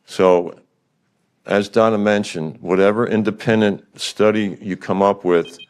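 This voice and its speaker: A man's voice: on the low side, fairly even intonation, measured pace, darkish tone, smooth. An older man giving public comment at a county meeting, blunt and frustrated, firing rhetorical questions.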